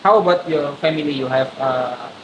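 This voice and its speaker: A man's voice: on the high side, a little fast, muffled recording. A man hosting a casual podcast interview who asks questions in a playful, jokey way. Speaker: podcast host. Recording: echoey room with hiss.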